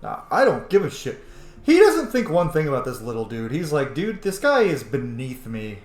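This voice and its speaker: A man's voice: mid-range voice, wildly swooping pitch, moderate pace. A man giving casual, profane, joking commentary while playing a video game. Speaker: gameplay commentator. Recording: roomy room with faint noise.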